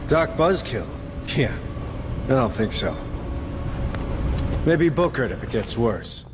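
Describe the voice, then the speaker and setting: An actor playing a gruff, sardonic, battle-weary marine sergeant in a video game, blunt and challenging in manner. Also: male voice, mid-range voice, big pitch swings, slow, noisy background. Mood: weary, dry.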